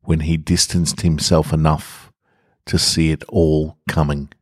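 A man's voice: a bass voice, little pitch movement, a little slow, no room sound, studio quality. A man hosting a podcast and reading short stories, with casual introductions and scripted narration. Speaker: podcast host and story reader.

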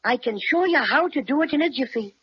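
Casting: little kindly talking bear, high voice, vintage children's radio drama, dull recording. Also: lively intonation, brisk. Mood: helpful, boastful. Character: small gruff, kindly talking toy bear. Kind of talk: old-time children's radio serial acting.

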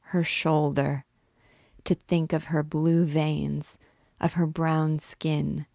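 A woman's voice: deep voice, gravelly, dry recording, clean studio sound, heavily acted. A woman reading an anguished male priest who pleads desperately for love in a storybook reading.

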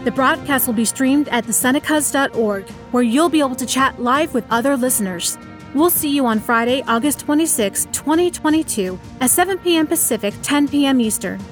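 A woman announcer reading a scripted promo in a clear, upbeat way. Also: high voice, fairly quick, faint music behind.

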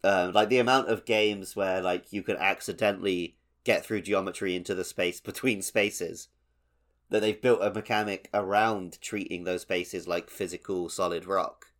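A man's voice: sits low, fairly even intonation, moderate pace, fairly bright tone. A man hosting a gaming podcast in casual, conversational chat. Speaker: podcast host.

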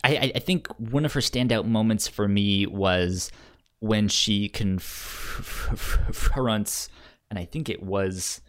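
A man co-hosting a casual review podcast, halting and stumbling over the starts of words. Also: lowish voice, fairly bright tone. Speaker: podcast co-host reviewing anime.

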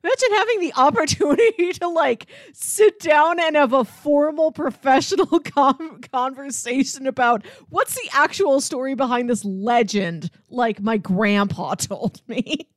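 A woman's voice: high-pitched, expressive, measured pace, hardly any pauses, dry recording, clean studio sound. A woman in casual film discussion, musing aloud with wry, joking asides. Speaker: film podcast host.

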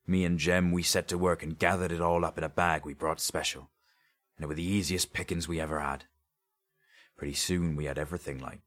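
A man's voice: extremely low pitch, fairly bright tone. A man voicing a haunted, greedy grave robber in an audiobook story, with a confiding, colloquial way of telling things.

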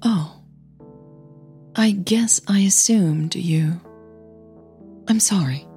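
A woman narrator voicing a kind, forward woman singer in an audio drama, sincere and reassuring, with a soft, breathy voice. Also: medium pitch, extremely slow, soft background music. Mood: apologetic. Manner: warm, reassuring.

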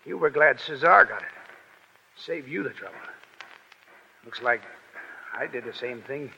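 An actor playing a wry, fatalistic Foreign Legion soldier in 1930s radio drama style, rough and slangy. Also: male voice, medium pitch, animated, measured pace, pushed and bright, heavily acted. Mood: weak, dying. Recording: muffled, hissy, clicking 1930s radio recording.

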